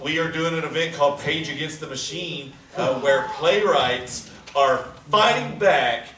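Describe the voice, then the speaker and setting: A man's voice: on the high side, unhurried, a bit bright. A man making casual announcements to a theater audience, chatty and teasing. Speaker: theater company organizer. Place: theater or event room. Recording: roomy, from far off, faint noise.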